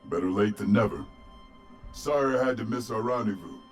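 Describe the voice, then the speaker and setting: Man acting big gruff game soldier guy, blunt, unbothered, clear music behind him. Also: on the low side, lively intonation, fairly quick, close to an everyday voice. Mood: easygoing, dry. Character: big, gruff, unflappable robotic resistance agent, blunt. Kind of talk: video game cutscene acting.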